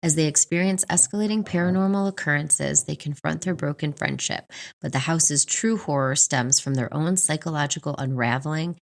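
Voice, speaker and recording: A woman's voice: low-pitched, moderate pace, vocal fry. A woman co-hosting a podcast, moving between casual chat and neatly read scripted segments. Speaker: podcast co-host.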